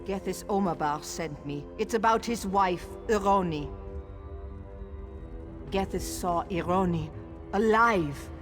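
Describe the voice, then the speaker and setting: A woman's voice: mid-range voice, takes it slowly, long pauses, lightly acted. Woman acting an urgent, earnest messenger. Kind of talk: fantasy video game voice acting. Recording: music behind the voice.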